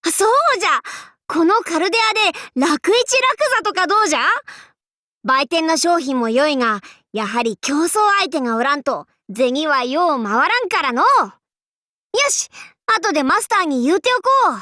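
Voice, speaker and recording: A high, very animated young warlord girl for an anime game, recorded close and dry. She speaks in grand archaic lordly phrases, imperious and boastful, yet slips readily into playful clowning. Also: normal speed, no room sound. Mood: breezy, teasing. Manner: archaic lordly speech, haughty, abruptly switching to goofy. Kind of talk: Japanese anime game character voice lines.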